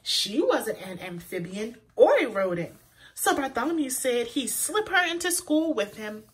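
A woman reading a children's picture book aloud in an expressive, deliberate way, as a storyteller for young listeners. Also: fairly high pitch, husky. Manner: pauses to point out words to young listeners; animated, deliberate delivery for children.

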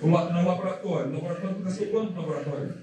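A man's voice: high voice, racing, crowd noise. Man lecturing in an echoey hall. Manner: casual, repetitive, many fillers. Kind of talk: seminar talk with slides. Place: large dark lecture hall. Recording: echoey hall from far off, audience.

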